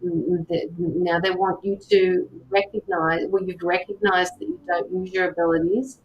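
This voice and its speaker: A woman doing live tarot and mediumship readings, speaking gently to viewers by name with frequent hesitations. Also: flat delivery, normal speed. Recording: slightly dull lo-fi sound with faint rumble.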